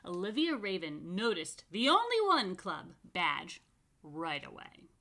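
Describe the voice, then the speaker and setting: A woman rabbi reading a picture book aloud to children, expressively stretching key words and adding chatty asides. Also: on the low side. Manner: expressive, dramatic emphasis and drawn-out words. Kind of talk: animated storytime read-aloud with chatty asides.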